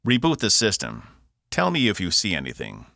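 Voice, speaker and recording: A man plays a determined engineer from a space crew in an audiobook. His speech is curt and commanding, with a gravelly, forceful edge and close, dry sound. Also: fairly low pitch, huge pitch swings, normal speed, dry recording, voice pushed up, exaggerated voice. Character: terse, determined male space-crew engineer under pressure.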